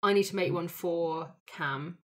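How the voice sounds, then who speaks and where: A woman's voice: on the low side, unhurried, fairly bright tone. A woman talking casually as a player in a tabletop roleplaying game, working out her character. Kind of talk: casual collaborative character discussion.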